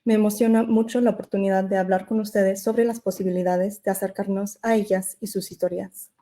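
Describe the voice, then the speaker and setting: Woman, non-native speaker, academic lecture read aloud, mellow warm voice, measured pace. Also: medium pitch, little pitch movement, slight background noise. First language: not Spanish. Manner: measured, careful delivery with occasional self-corrections. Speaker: invited lecturer. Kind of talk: reading a prepared academic lecture.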